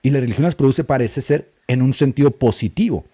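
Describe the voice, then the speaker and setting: A man co-hosts a live discussion. His voice is very dark and mellow, and he talks in a colloquial, emphatic way, often at a quick clip. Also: mid-range voice. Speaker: podcast co-host.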